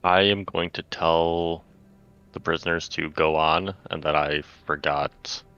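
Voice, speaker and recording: A man playing a tabletop roleplaying game, talking casually in halting, hesitant phrases. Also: low voice, steady tone, bright, forceful tone, clear, resonant tone. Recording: dull, lo-fi mic, faint music.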